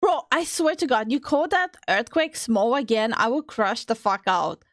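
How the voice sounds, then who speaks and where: A woman's voice: fairly high pitch, lively intonation, normal speed, no room sound. Woman streamer from Minnesota, playful and profane, close dry mic. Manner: playful, teasing, swears freely. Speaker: streamer co-host. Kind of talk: casual stream banter.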